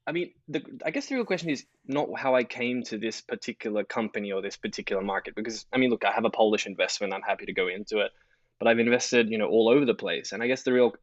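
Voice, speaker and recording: Dry, low-voiced Australian man in his thirties. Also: fast talker, dry recording. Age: 30s. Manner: candid, self-deprecating, laughs easily. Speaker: podcast guest, investor. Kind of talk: casual conversational interview. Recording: dull lo-fi, dry sound.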